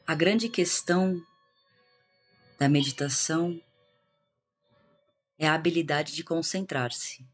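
A Brazilian woman guiding a meditation in a calm, hushed manner with long pauses. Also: low voice, husky. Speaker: meditation guide, integrative therapist. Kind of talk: guided meditation. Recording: music behind the voice. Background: meditation music.

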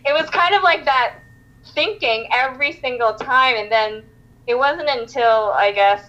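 A woman's voice: high-pitched, unhurried, pushed and bright. Chatty woman on dull call audio. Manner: chatty, many fillers and restarts. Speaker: podcast guest, entrepreneur. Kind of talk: casual interview chat.

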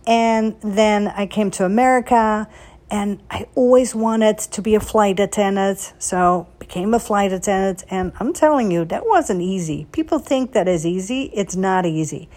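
A woman's voice: medium pitch, normal speed, a little mellow. Chatty woman. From Netherlands. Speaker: boutique owner hosting a solo social media chat. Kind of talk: casual chat, personal storytelling.

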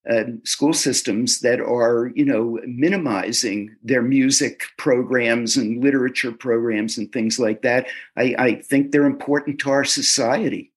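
A man being interviewed as an author, answering in a casual, halting way and often repeating words as he starts a sentence. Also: medium pitch, breathy edge. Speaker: author guest.

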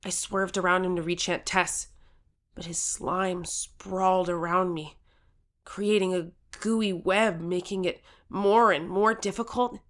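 A woman's voice: on the low side, fairly even intonation, a little slow, close to an everyday voice. A woman playing an earnest, determined thirteen-year-old girl who tells her own story with urgency in an audio drama. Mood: tense narration. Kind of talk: first-person audio drama narration and acting.

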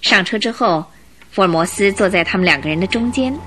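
A woman's voice: mid-range voice, brisk, fairly bright tone, slight background noise. A woman delivering dramatic, suspenseful scripted narration for a radio drama. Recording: radio drama with music underneath.

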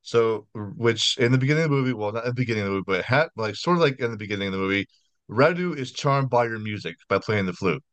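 A man's voice: fairly low pitch, lively intonation, quick pace, a bit bright, no echo. A man hosting a horror podcast interview over a video call, chatty and apologetic, often restarting his sentences. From United States. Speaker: podcast host.

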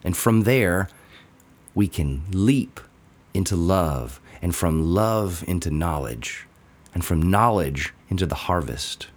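A man with a low-pitched voice narrates a devotional. He reads slowly from a script, setting each phrase apart with deliberate pauses. The delivery is measured throughout. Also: expressive. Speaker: reader of a daily devotional.

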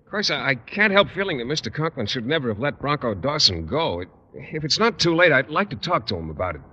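Earnest, quick-talking mild teacher. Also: male voice, medium pitch, sing-song, sharp tone, husky, heavily acted. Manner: earnest, hesitant, polite. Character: earnest, mild, naive male high school teacher. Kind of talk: 1950s radio situation comedy acting. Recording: dull old radio recording.

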